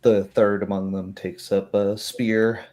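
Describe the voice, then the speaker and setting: A man running a tabletop game as game master, improvising narration in a halting, thoughtful way. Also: lowish voice, little pitch movement, a little mellow.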